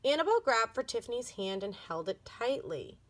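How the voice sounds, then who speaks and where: A woman reading a children's book aloud as an expressive storybook narrator. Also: on the high side, fairly quick.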